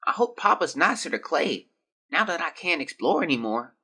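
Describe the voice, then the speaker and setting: An actor playing a gravely ill, weary young boy who haltingly reads out his diary in an audio drama. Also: mid-range voice, very animated, pushed and bright, exaggerated voice. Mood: sick, drifting. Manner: weak, halting, drifting. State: feverish and exhausted.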